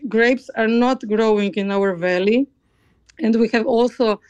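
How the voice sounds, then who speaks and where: A woman from Slovenia with a Slovenian accent, a choral conductor answering interview questions in a halting way, often searching for words. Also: fairly high pitch, a little mellow, clear, resonant tone, no room sound, highs cut off. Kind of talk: interview conversation.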